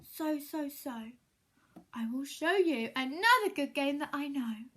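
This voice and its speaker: A woman's voice: sits high, animated, a little slow, sharp tone, a little hiss or hum, exaggerated voice. A woman voicing a showy, playful cat in a hat who coaxes and boasts. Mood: boastful, playful.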